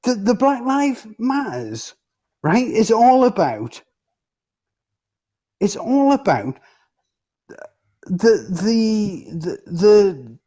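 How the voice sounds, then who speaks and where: A man's voice: high voice, sing-song, extremely slow, long pauses, dark tone. A man in a heated casual debate, speaking insistently, cutting in and swearing freely.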